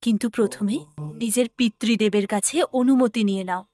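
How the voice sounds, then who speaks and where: A woman's voice: fairly high pitch, moderate pace, mellow, dry recording, close to an everyday voice. A woman playing a composed, affectionate mother goddess in a mythological cartoon, assured and motherly.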